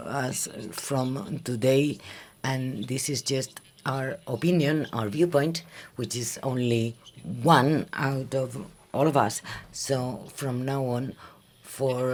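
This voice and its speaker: Halting man chairing a meeting. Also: mid-range voice. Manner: measured, halting with frequent fillers. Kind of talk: formal meeting address. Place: conference hall.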